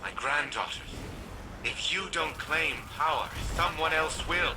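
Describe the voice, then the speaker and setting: An actor playing a proud, scheming old scientist and grandfather in a video game, talking in a smug, measured, formal way. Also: fairly high pitch, animated, background noise. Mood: grandly persuasive.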